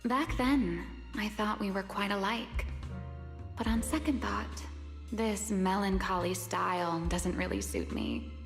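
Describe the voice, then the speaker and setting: A woman playing an enigmatic, composed young game character who speaks in a measured, wistful, formal way. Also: medium pitch, animated, gravelly. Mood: solemn, gentle. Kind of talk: video game voice acting. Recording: long echoing reverb over music.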